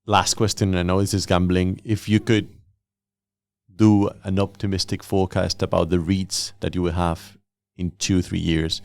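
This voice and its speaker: Guy hosting a podcast, low creaky voice, dry close sound, chatting casually with guests. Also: flat delivery, normal speed, no room sound. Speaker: podcast host interviewing guests. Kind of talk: casual conversational interviewing.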